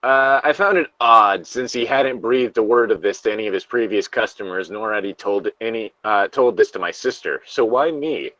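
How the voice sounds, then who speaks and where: Male co-host, low register, chatty read-aloud with blunt asides, lo-fi sound. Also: normal speed, few pauses, a bit bright, no room sound. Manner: informal, profane, self-interrupting. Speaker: co-host reading and riffing on internet horror stories. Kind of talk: casual read-aloud with banter.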